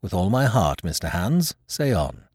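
Man playing a bold young adventurer, animated pitch. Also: normal speed, breathy edge, dry recording, clean studio sound, full character voice. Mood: agreeable. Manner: ironic, polite, commanding. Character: bold, cheeky young male adventurer turned captain. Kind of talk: storybook character voice in audiobook.

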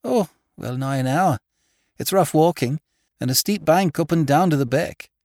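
Storybook role: unhappy farmer's wife played by a male narrator, high, hoarse, stark and rustic. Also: normal speed, a little mellow, no room sound, studio quality, natural voice. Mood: plain, earnest. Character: desperate, unhappy rural farmer's wife, plain-spoken.

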